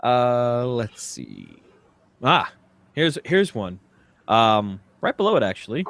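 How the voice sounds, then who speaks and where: A man's voice: medium pitch, very animated, takes it slowly, gravelly, fairly bright tone, no room sound. A man co-hosting a comedy podcast, bantering casually and doing comic readings. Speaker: podcast co-host.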